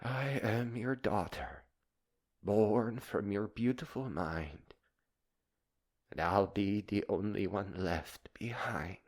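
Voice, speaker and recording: Slow, theatrical man delivering dramatic oratory. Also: fairly low pitch, steady tone. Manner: theatrical, solemn, grandiloquent. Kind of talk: dramatized poetic monologue and fiery oratory.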